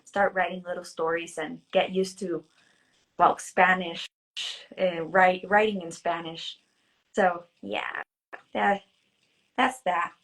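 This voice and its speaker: A woman author from the United States answering interview questions in a hesitant, halting way with frequent restarts. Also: fairly low pitch, fairly even intonation, a bit bright, low-quality recording. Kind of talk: casual interview chat.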